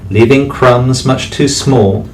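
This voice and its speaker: A man, a teacher, reading a rhyming bedtime story aloud with expressive delivery. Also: mid-range voice, slow pace, a little hiss or hum. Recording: distant mic, faint background music.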